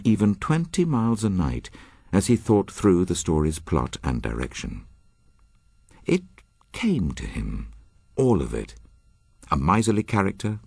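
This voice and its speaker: A man's voice: low-pitched, gravelly, darkish tone, husky, dry recording. A man introducing a radio drama with scripted narration in a solemn, dramatic storytelling manner.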